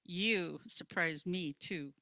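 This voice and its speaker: A woman's voice: lowish voice, slow, pushed and bright, no echo, exaggerated voice. A woman playing an earnest, matter-of-fact, competitive wife in a comedic radio play. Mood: affectionate, eager. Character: capable, competitive wife, earnest and literal-minded.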